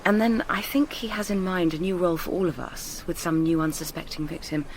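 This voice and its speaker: An actress playing an anxious, tender young lover with an English accent, in naturalistic radio drama. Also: female voice, on the low side, fairly even intonation, fairly quick, slight background noise, lightly acted, poor audio. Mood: intimate, subdued.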